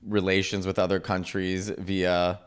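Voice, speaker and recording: A man's voice: low voice, monotone, unhurried, a little hiss or hum. A man hosting a comedy podcast, chatting casually with playful, campy, jokey banter.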